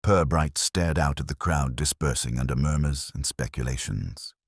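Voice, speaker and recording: A man reading a mystery story as a scripted audiobook narrator, in a measured, dramatic storytelling manner. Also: a bass voice, vocal fry, slightly rough, no echo, clean studio sound. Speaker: narrator and reader.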